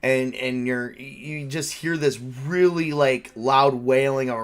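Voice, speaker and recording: Male game master, mid-pitched, casual and animated storyteller for tabletop roleplay. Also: very slow, clean tone.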